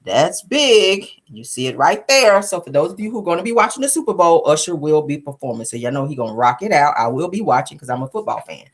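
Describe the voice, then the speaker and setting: A woman's voice: sits low, fast, few pauses. A woman hosting a casual gossip show, sassy and colloquial, repeating phrases for emphasis. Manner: sassy, animated asides. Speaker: online show host. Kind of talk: casual celebrity gossip commentary.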